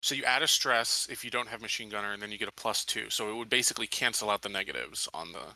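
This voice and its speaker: Quick talking guy at an rpg session, very bright edgy voice, argues the point, dry mic. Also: lowish voice, no echo, poor audio. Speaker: player in a tabletop game. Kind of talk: casual game chat.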